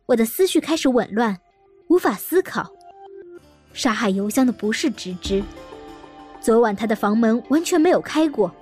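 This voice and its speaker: An actress playing a troubled woman seeking revenge, narrating an audio drama in a deliberate, introspective way. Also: a little high, darkish tone.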